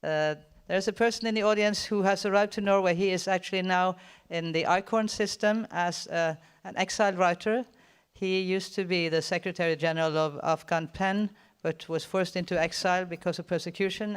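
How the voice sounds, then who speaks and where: A man moderates a panel, introducing guests in a relaxed way that is full of small fillers. His voice sits high for a man and comes through clean and resonant. He talks as though he is at ease in front of a live audience. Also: brisk, clear, resonant tone. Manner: conversational, with frequent fillers. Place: seminar hall with audience.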